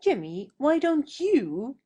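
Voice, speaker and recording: A woman plays a headstrong young heroine of stage comedy. The soft, mellow voice moves widely in pitch, emphatic and passionate, with an exasperated, defiant manner. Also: very animated, slow, full character voice. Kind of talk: acted stage satire in audio.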